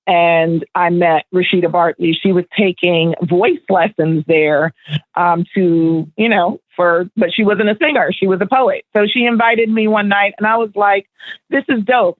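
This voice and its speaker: A woman's voice: on the low side, no echo. Middle-aged woman on a tinny phone line. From Barbados. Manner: relaxed, laughing, storytelling with fillers. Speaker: guest, spoken word event organizer. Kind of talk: radio interview answers.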